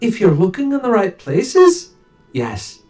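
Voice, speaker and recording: A woman's voice: medium pitch, huge pitch swings, slow, mellow, faint music behind. A woman voicing a laid-back, drily mocking woodland-loving adventurer in a video game. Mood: airy teasing. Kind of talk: video game character acting.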